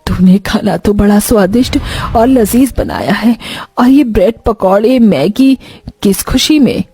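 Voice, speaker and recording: A woman's voice: mid-range voice, expressive, measured pace, warm tone, slightly rough, no room sound, soft background music. A woman voicing an emotional, doting middle-aged mother-in-law in a story, with a familiar, fussing way of talking. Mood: affectionate.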